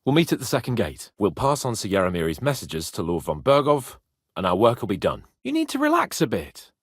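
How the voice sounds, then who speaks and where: A man's voice: on the low side, huge pitch swings, a little fast, slightly rough, dry recording, clean studio sound, exaggerated voice. An actor voicing a cocky, hot-tempered young medieval nobleman in a video game, with a swaggering, theatrical, haughty delivery. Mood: cocky, breezy. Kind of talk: dramatic medieval game dialogue.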